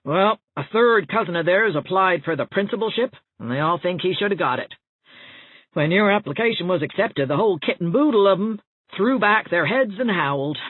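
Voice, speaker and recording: Woman voicing a husky, gossipy older village woman. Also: on the low side, lively intonation, normal speed, narrow, tinny audio, voice pushed up. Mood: gossipy, confiding. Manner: folksy, rambling, confiding. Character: chatty, gossipy older small-town woman, well-meaning busybody. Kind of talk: audiobook character dialogue. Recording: thin band-limited audio, roomy echo.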